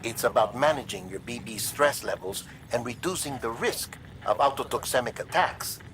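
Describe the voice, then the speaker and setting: An actor playing a chatty, slightly evasive medical expert in a video game, explaining things in a casually wry way. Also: male voice, medium pitch, expressive, moderate pace, a bit bright, a little hiss or hum, close to an everyday voice. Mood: casual, instructive. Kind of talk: conversational video game cutscene acting.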